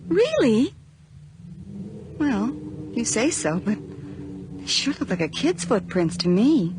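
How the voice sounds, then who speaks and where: An actress playing a nervous, chatty young woman in a radio horror drama, halting, exclaiming and wisecracking. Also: on the high side, sing-song. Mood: puzzled, uneasy. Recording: noisy archived radio drama.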